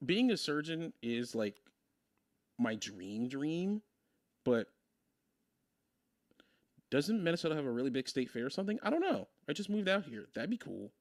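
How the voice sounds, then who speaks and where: A man in his twenties from Maryland, chatting casually on a stream, rambling and thinking out loud with frequent laughs. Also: on the high side, sing-song, normal speed, pauses often, no room sound, clean studio sound. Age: 20s. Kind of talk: casual chat on a game stream.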